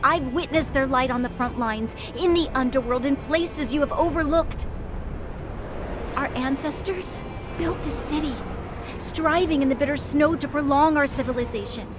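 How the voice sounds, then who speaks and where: A woman's voice: high-pitched, moderate pace, background noise, natural voice. A woman voicing an earnest, principled young noblewoman in a video game, speaking formally and resolutely. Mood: sorrowful, reluctant. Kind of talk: dramatic video game acting. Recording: loud music under the voice. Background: dramatic game music.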